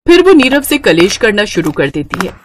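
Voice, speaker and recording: A woman tells a scripted moral story as narrator. Her voice sits at a medium pitch for a woman. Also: big pitch swings, moderate pace, a little hiss or hum.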